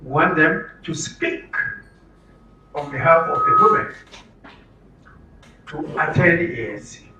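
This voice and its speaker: A man's voice: on the high side, wildly swooping pitch, measured pace, long pauses, dull audio. A man with a Nigerian accent giving an interactive public talk, emphatically posing questions and answering them as a presenter. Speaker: presenter at a health orientation for media. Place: room with an audience. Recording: roomy, distant mic, faint noise.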